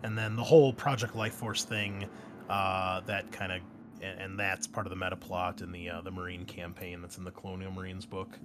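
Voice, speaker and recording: Low-voiced man hosting a gaming podcast. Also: level tone, normal speed. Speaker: game master and podcast host. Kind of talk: casual explanation and chat on an actual-play podcast. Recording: faint background noise.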